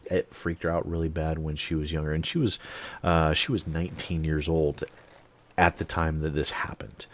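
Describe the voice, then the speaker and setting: Deep-voiced man telling a story. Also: no room sound. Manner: animated storyteller, swears freely. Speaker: channel host. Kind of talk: storytime monologue.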